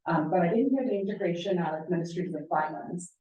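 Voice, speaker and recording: A woman in the audience asking a measured question at a conference panel, pausing on fillers. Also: on the low side, mellow, a little hiss or hum. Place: large conference room. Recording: echoey hall from far off, dull.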